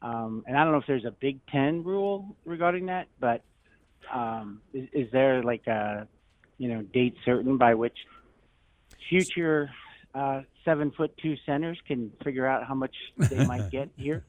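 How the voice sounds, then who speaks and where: Hesitant male caller on tinny phone line. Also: medium pitch. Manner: hesitant, measured questioning. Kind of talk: asking questions on talk radio. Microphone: telephone.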